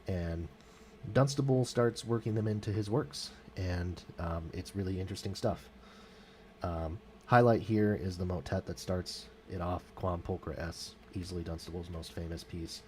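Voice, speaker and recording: A man with a very low voice talks through music history in a loose, unscripted way. He fills pauses with ums and often corrects himself mid-sentence. A faint hiss sits under the recording. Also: a little mellow. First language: English. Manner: hesitant, many fillers and self-corrections. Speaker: music vlogger. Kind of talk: casual spoken music commentary.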